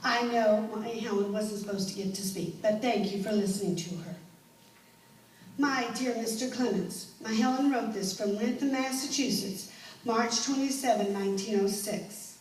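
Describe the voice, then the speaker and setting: A woman's voice: fairly high pitch, normal speed, close to an everyday voice. Casting: actress as protective female teacher, formal stage letter-reading, recorded from afar with faint noise. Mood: devoted, formal. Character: Anne Sullivan Macy, devoted protective woman teacher reading a letter. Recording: echoey room, distant mic, faint noise.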